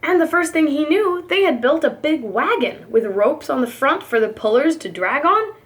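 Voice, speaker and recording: A woman reading a storybook aloud like a preschool teacher, expressive, with emphatic, rhythmic delivery. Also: high-pitched, moderate pace, slight background noise.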